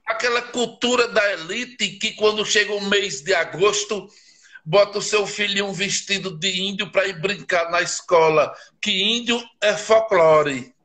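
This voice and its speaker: A man with a Northeastern Brazilian accent speaks in a high, bright voice, with a slow and deliberate pace. He is a guest in a live interview over a video stream. He talks with passion and heavy emphasis and asks pointed rhetorical questions. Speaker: guest writer.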